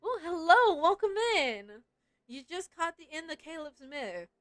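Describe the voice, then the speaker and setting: A woman's voice: very high-pitched, very animated, a little slow, fairly bright tone, no room sound, studio quality. A playful, giggly woman streaming a game, chatting casually and reading story text aloud. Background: game music.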